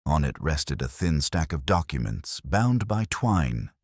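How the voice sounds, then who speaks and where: A man narrates a scripted detective story in a dramatic style. His voice is extremely low with animated rises and falls, recorded dry and close. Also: unhurried, dry recording.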